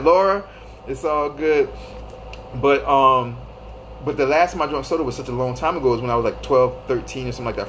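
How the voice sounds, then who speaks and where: Brief: male live-stream host, thirties, mid-pitched and easygoing, repeats himself, faint hiss behind. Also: fairly bright tone, rough recording. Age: 30s. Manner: loose and repetitive, greeting viewers by name. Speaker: livestream host. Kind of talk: casual live-stream advice talk.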